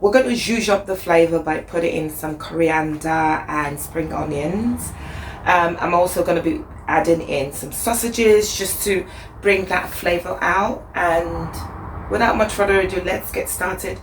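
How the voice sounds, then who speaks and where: A woman vlogging casually as she cooks at home. Her voice is husky from the flu, and she talks chattily with plenty of fillers. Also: lowish voice, unhurried. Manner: chatty, upbeat, thinks aloud with fillers. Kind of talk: casual cooking vlog. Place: home kitchen. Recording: echoey room, faint background noise.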